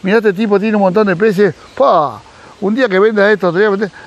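A man's voice: sits high, fast, lo-fi sound. A middle-aged Argentine man from Mar del Plata, a fish breeder, giving casual advice outdoors in a garden and talking animatedly with his hands. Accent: Argentine Spanish, Mar del Plata. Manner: animated, gesturing, acts out quoted dialogue. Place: outdoors in a sunny garden by a pool. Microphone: camera at close range.

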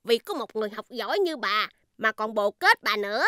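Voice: mid-range voice, very animated, brisk, pushed and bright, smooth. A voice actor playing a mischievous, teasing twin schoolboy who gossips in a Vietnamese cartoon. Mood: gossipy. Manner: teasing, exaggerated storytelling. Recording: children's music behind the voice.

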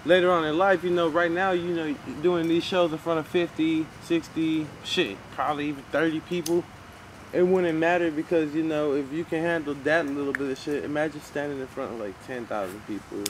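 A man's voice: fairly high pitch, fairly even intonation, fairly quick, rough recording. A man interviewing casually, in slangy, profane and encouraging talk. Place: outdoor backyard, off camera. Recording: outdoor ambient noise.

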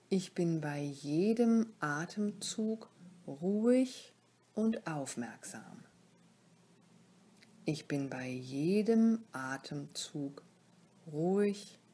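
Female meditation guide: mellow, slightly low voice, soft and breathy to near-whisper, calm, soothing, spacious phrasing. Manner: calm, soothing, short instructive phrases with pauses. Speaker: yoga nidra teacher leading a guided relaxation.

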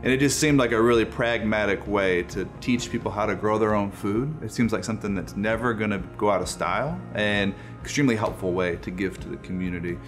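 Low-pitched man in his thirties. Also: fairly quick, few pauses. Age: 30s. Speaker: non-profit co-founder. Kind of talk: documentary interview, casual storytelling. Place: indoor room, seated interview. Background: music.

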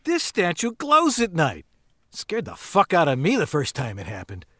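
A woman's voice: on the low side, huge pitch swings, moderate pace, fairly bright tone, no echo, clean studio sound, heavily acted. An actress playing a childlike, rambling female squatter in an audio drama. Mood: spacey. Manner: spacey, rambling. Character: odd, childlike female squatter, fixated on shiny things.

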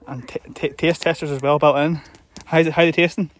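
A man's voice: a little high, fairly even intonation, fairly quick. A Northern Irish man hosting a casual outdoor cooking demo, talking through each step in a chatty way. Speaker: host of a wild camping channel. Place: outdoors at a camp.

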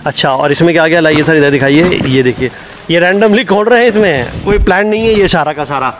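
A man's voice: fairly high pitch, a little fast. A man hosting a casual on-location promo walkthrough, chatty and repetitive. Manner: chatty, repeats "accha" and "dekhiye" often. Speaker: local news video host. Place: warehouse and roadside outdoors. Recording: noisy street and warehouse background.

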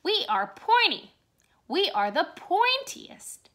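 A woman voicing excitable, boastful little blades of grass in a children's storybook, with exclamatory, drawn-out delivery. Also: very high-pitched, voice pushed up, exaggerated voice. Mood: excited, boastful.

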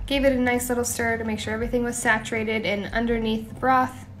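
A young woman hosting her own home cooking show, talking through each step in a relaxed, chatty way with small asides. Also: a little high, quick pace, slight background noise. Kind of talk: casual cooking voice-over. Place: home kitchen.

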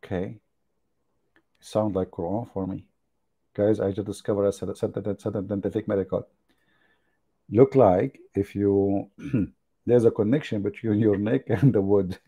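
A man with a gravelly dark voice. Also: fairly low pitch, fairly even intonation, measured pace, pauses often. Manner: mocking, joking, laughs at his own jokes. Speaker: online religious debate host. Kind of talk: casual livestream commentary.

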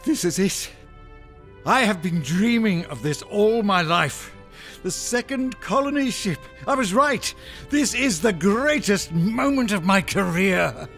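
An actor playing an excitable, pleading scientist professor in an audio drama. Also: male voice, high voice, slow, gravelly, heavily acted. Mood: awed, excited. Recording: faint music behind the voice.

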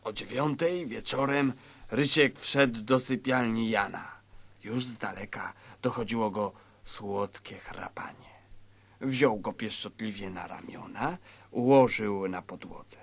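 A man narrating a scripted satirical story in a measured, mock-solemn manner. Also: fairly low pitch.

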